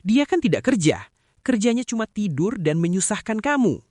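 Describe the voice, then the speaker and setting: A man's voice: high voice, big pitch swings, moderate pace, a little mellow, no echo, clean studio sound. A man playing a stern neighbourhood chief in a cartoon drama, authoritative and admonishing. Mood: stern, accusing.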